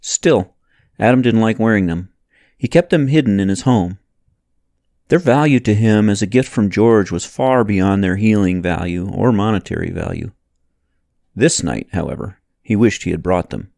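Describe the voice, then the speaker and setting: A man's voice: lowish voice, normal speed, gravelly, darkish tone, no echo, clean studio sound. A man reading a novel aloud as a scripted audiobook narrator.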